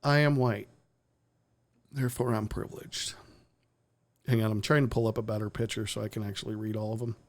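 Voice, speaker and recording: A man's voice: lowish voice, level tone, fairly quick, long pauses. Male stream host, blunt and sarcastic, casual opinionated delivery, swears freely. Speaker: podcast host.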